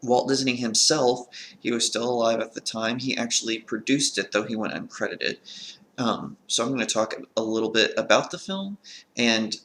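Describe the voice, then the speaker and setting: A man's voice: mid-range voice, gravelly, fairly bright tone. A man with a crackly voice from a sore throat, talking as an earnest film review host in a casual vlog style.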